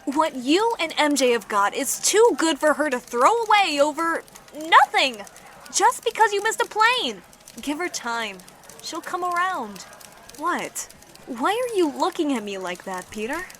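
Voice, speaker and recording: A woman acting a friendly young woman in a comic dub, playful and gentle as she teases and consoles. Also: high-pitched, sing-song, a little slow, a little hiss or hum, heavily acted. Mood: comforting.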